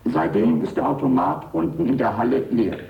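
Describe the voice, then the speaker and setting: Robot butler, radio drama: very gravelly, widely swinging intonation, courteous, literal, obedient. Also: male voice, medium pitch, big pitch swings, moderate pace. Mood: dutiful, polite. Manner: formal, polite, literal and naive. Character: dutiful, literal-minded old robot servant, loyally awaiting master. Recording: dull, muffled radio drama recording.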